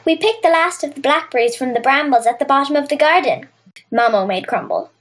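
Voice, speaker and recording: A woman playing an earnest, warm-hearted young Irish girl in a children's audio drama. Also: high voice, brisk, natural voice.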